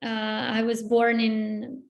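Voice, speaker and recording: A woman's voice: a little high, completely flat delivery, slow pace, vocal fry. Earnest young woman with a Bosnian accent. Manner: earnest, personal, fairly level delivery. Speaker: author on a panel. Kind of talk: panel discussion over video call. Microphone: video call.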